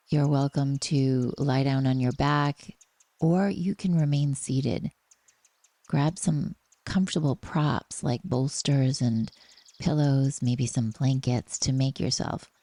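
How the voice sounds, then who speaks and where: A woman with a soft, breathy voice gently leading a guided relaxation meditation in a soothing, unhurried manner. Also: a bass voice, dry recording.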